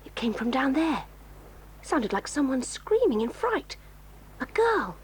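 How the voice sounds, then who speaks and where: A bold, tomboyish teenage girl in an old audio drama. Her voice is high and lively, and she talks in eager, breathless whispers. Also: a little slow, slight background noise. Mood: hushed. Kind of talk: 1980s radio-style audio drama.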